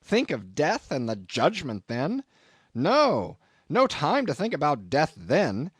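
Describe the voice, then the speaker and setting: A man's voice: a little high, huge pitch swings, slow, slightly rough, dry recording, clean studio sound, voice pushed up, full character voice. A man voicing a practical, defiant old sea captain in an audiobook. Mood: indignant, emphatic. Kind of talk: audiobook character voice.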